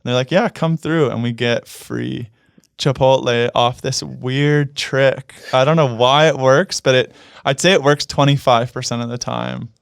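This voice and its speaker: A man from Canada talks casually as an interview guest, rambling and leaning on fillers, laughing easily between thoughts. His voice is smooth and resonant, recorded dry and close in a studio. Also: mid-range voice, fairly even intonation, unhurried, dry recording. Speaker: guest musician. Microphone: studio microphone.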